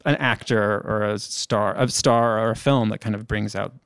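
Gravelly-voiced man asking questions. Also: lowish voice, steady tone, normal speed, a bit bright, no echo, studio quality. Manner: hesitant, filler-heavy questioning. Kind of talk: casual chat.

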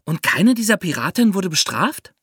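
A woman's voice: mid-range voice, very animated, normal speed, breathy edge, dry recording, studio quality. A woman co-hosting a podcast, summing up in a conversational way. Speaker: podcast co-host.

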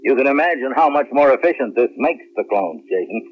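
A composed American general, played by a male actor in a vintage science fiction radio play. He explains things in an official way at a middling pitch. The sound is lo-fi and muffled. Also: lively intonation, fairly quick, slight background noise, close to an everyday voice. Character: composed general running a space program. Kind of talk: 1970s radio drama acting. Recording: muffled lo-fi 1970s radio broadcast.

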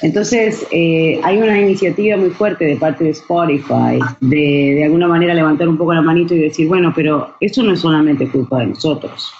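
A woman's voice: sits low, fairly quick, hardly any pauses, a little mellow, slight background noise. Chatty Argentine woman, muffled sound. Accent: Argentine Spanish. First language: Spanish. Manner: chatty, many restarts and fillers, mixes in English phrases. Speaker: songwriter guest. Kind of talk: casual interview talk. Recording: dull muffled recording.

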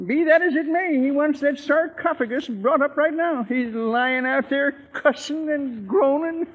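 An actor in an old radio drama playing a talkative, rustic elderly small-town handyman with a high old-timer voice. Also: a little slow. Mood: matter-of-fact. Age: older. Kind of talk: 1940s radio acting. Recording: muffled, tinny 1940s radio recording.

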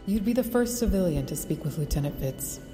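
An actress playing a persistent government official who presses her case in a measured, insistent way. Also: on the low side, animated, music in the background, natural voice. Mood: persuasive. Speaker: official recruiting the brother. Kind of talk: audio drama acting. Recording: long reverb with score behind.

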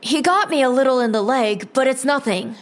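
A woman's voice: high voice, fairly quick, natural voice. A woman playing an apologetic, evasive twelve-year-old boy with a meek manner in an audio drama.

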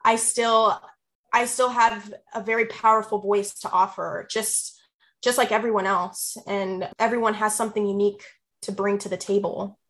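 A woman in her twenties, answering an interviewer with an earnest, heartfelt reflection in measured phrases. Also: medium pitch, fairly even intonation, a bit bright. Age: 20s.

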